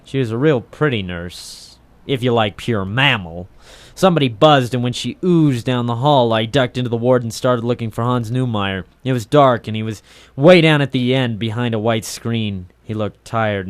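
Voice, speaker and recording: A man playing a cynical, wisecracking waterfront troubleshooter in late 1940s noir radio style, deadpan and sardonic. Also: mid-range voice, moderate pace, no echo, lightly acted. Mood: wry, deadpan. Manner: hard-boiled, sardonic, deadpan. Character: cynical wisecracking male private troubleshooter of the docks. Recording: old 1940s radio drama recording.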